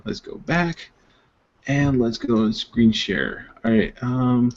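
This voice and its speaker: A man's voice: mid-range voice, expressive, takes it slowly. A man co-hosting a casual web show, joking and rambling with lots of fillers, heard over a video call. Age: adult father of two. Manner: rambling, playful, filler-heavy. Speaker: co-host. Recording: muffled video-call audio.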